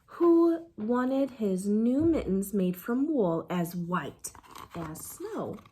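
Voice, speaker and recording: A woman reading a children's storybook aloud like a teacher at storytime, expressive and engaging young listeners. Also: medium pitch, very slow, husky. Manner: expressive, drops to hushed excited asides with audible gasps.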